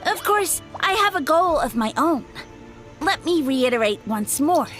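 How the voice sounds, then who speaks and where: High-pitched pompous mushroom creature. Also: female voice, big pitch swings, a little slow, fairly bright tone, exaggerated voice. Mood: haughty, grand. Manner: grandiose, haughty, theatrical speech. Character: pompous, boastful little mushroom creature posing as a mighty lord. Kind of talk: scripted video game voice acting. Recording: music behind the voice.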